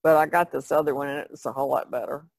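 Lady on a podcast just chatting, gravelly creaky voice, folksy, dry close sound. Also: low-pitched, steady tone, fast talker, dry recording. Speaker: artist, podcast panelist. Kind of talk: casual chat.

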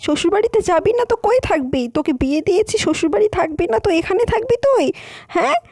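A woman's voice: extremely high pitch, animated, quick pace, mellow, dry recording, clean studio sound. A woman voicing a scolding, possessive older mother in a cartoon, nagging with melodramatic emphasis. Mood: scolding, indignant. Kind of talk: cartoon voice acting.

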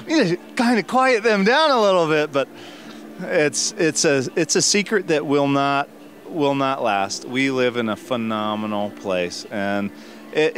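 A middle-aged man answering an interviewer's questions in a theater lobby, earnest and a little halting. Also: mid-range voice, fairly bright tone. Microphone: handheld mic held by interviewer.

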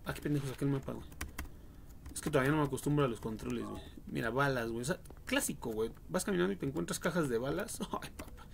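Young male Mexican streamer, jokey and crude, fry-heavy voice, casual play-by-play over faint noise. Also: mid-range voice. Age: young adult. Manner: slangy, foul-mouthed, jokey. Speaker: livestream gamer. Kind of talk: casual game livestream commentary.

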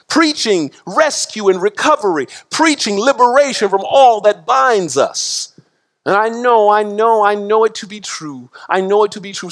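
A middle-aged man preaching a sermon from a church pulpit, impassioned and full of repetition, his pitch and loudness swinging widely. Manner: gestures broadly while preaching at a pulpit. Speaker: pastor. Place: church sanctuary.

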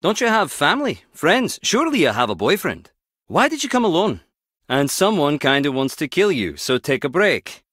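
A high-voiced, cocky young guy. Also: sing-song, moderate pace, a bit bright, no room sound, studio quality. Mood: cocky, teasing. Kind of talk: video game voice acting.

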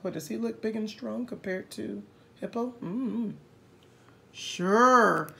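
A woman reading a picture book aloud expressively, pausing to comment and address young listeners. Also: mid-range voice, vocal fry, sharp tone, slight background noise.

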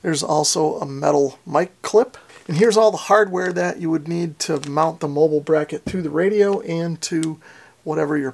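A middle-aged man walks through a piece of gear as a reviewer. His voice sits a little higher than most men's and has a strong gravelly fry. He explains things step by step in a plain-spoken, methodical way. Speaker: radio reviewer and presenter. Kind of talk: product overview and demonstration.

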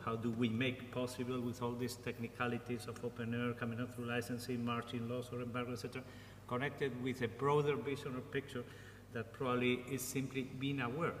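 A man's voice: medium pitch, little pitch movement, brisk. A man speaking as a panelist at a conference, reflective in his remarks. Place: large conference hall. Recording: echoey hall, faint room noise.

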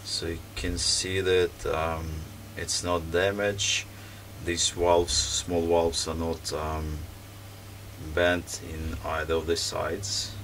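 Low slow gravelly guy, fixing stuff on a bench, lots of ums, mic kinda far off with background noise. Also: level tone, a bit bright. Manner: hesitant, many ums and restarts while working. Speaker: repair video host. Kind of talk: casual hands-on repair commentary. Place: workbench, indoors.

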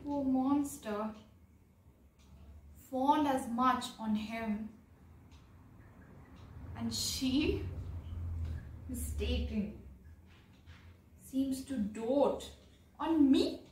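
A young woman acting a bewildered, lovestruck heroine disguised as a man in a Shakespearean monologue, theatrical, with long pauses. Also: sits high, darkish tone, slight background noise. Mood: anxious, despairing. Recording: echoey room, distant microphone.

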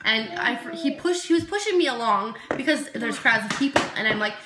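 A woman in her early twenties chatting playfully with her sister in a vlog, reading out questions and hurrying things along with quick asides. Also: on the high side, lively intonation. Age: early 20s. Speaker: co-host sister. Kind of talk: casual chat. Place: indoor room, sitting side by side. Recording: echoey room with background noise.

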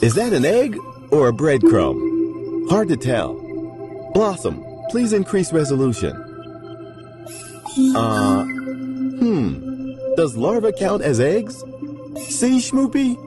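A man's voice: fairly high pitch, full character voice. A man playing a whimsical, curious fellow musing to his toy pet in an audio drama. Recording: loud music over the voice.

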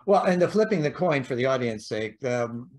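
A man's voice: medium pitch, expressive, moderate pace. A middle-aged man with a mid-range voice hosts a podcast interview. He asks long, rambling questions with plenty of fillers. He speaks over a video call. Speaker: podcast host. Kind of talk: casual interview chat.

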